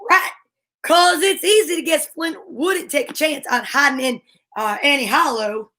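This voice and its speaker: A woman's voice: high voice, normal speed, sharp tone. A woman playing an earnest, helpful country boy, folksy and agreeable, in old-time radio drama style.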